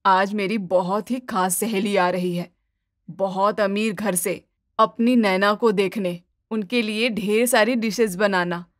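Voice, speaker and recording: A woman voicing a fussy, easily exasperated middle-aged mother-in-law in an animated family story, bossy and dramatic. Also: medium pitch, slow, no echo, studio quality, natural voice. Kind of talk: animated Hindi moral-story dubbing.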